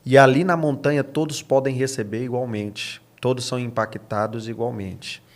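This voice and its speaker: A man's voice: medium pitch, steady tone, moderate pace. A man of thirty-six with a Brazilian accent, a pastor speaking as a podcast guest, explaining earnestly and repeating phrases for emphasis. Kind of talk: podcast interview answers.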